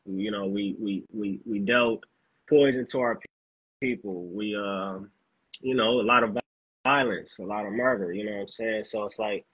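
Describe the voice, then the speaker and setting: A man from Los Angeles, a rapper being interviewed, talking in a laid-back, halting way with lots of fillers. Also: lowish voice, steady tone, breathy edge, dry recording, dull audio. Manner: laid-back, halting, fills with "you know what I'm saying". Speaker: guest rapper. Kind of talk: casual interview chat.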